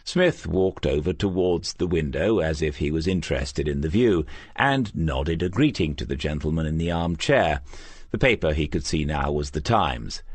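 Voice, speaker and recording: A man reading a crime novel as scripted narration in a measured, unhurried storytelling manner. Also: very deep voice, expressive, no room sound. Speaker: audiobook narrator.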